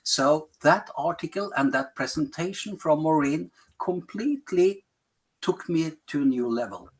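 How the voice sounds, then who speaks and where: A man's voice: fairly high pitch, expressive. Norwegian-accented man searching for words. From Norway. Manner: searches for words, frequent pauses and fillers. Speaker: health policy advisor. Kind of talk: podcast interview.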